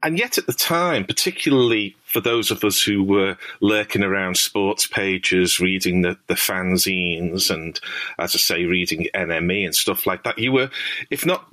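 A man's voice: low voice, normal speed, pushed and bright. A man with a Liverpool accent hosting an interview, chatty with hesitant restarts. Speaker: podcast host. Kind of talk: interview chat.

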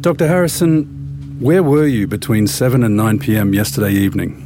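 An actor playing a polite but firm police inspector, speaking directly and formally in an audio drama. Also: male voice, lowish voice, brisk, over music, close to an everyday voice. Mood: formal, probing. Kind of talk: full-cast audio drama acting.

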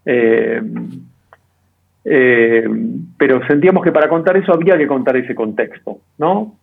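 A man's voice: medium pitch, lively intonation, measured pace, vocal fry, husky. A man with an Argentine accent, answering interview questions conversationally as a guest. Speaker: interview guest, documentary director. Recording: tinny, narrow phone-like call audio.

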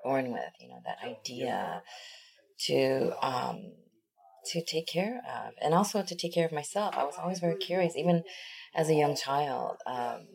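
Very low-voiced woman, rambling. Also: lively intonation, moderate pace, gravelly, a little mellow. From born in Korea, raised in the United States. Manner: rambling and reflective, many fillers, laughs easily. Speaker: interview guest, wellness practitioner. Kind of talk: casual conversational interview.

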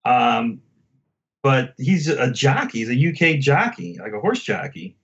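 A man in a casual album discussion as a podcast guest, speaking haltingly and often circling back to rephrase. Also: mid-range voice, big pitch swings, fairly bright tone, dull audio.

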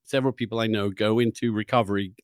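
A man's voice: fairly low pitch, fairly even intonation, fast talker, no echo, studio quality. A man hosting an interview, debating his guest and pressing with challenging questions. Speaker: interviewer, podcast host.

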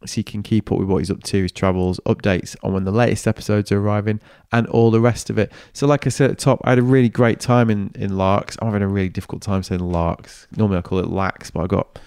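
Upbeat podcast host, smooth voice. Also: male voice, on the low side, fairly even intonation, fast, hardly any pauses, a little mellow, no room sound, clean studio sound. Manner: chatty, upbeat, banter-prone. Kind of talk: casual chat and solo wrap-up.

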